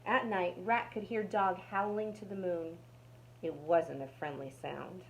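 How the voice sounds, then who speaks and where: A woman's voice: fairly low pitch, steady tone, a little slow, a little hiss or hum, poor audio. A woman narrating a children's storybook aloud as a storytime reader.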